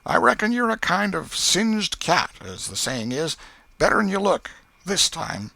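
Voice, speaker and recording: A man acts out a fretful old Southern aunt from a storybook, pitched well up and swooping widely. She is folksy and exclamatory, a pious scold with a soft heart, and the sound is bright and forward. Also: big pitch swings, a little slow, vocal fry, no echo, heavily acted. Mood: grudgingly forgiving. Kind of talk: audiobook character voice.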